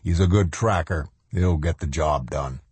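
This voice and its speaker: A male narrator puts on a cold, menacing army colonel for a grim war story. The voice is very low and husky, heavy and forceful, handing down curt orders in a dry space. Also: a little slow, no room sound, heavily acted.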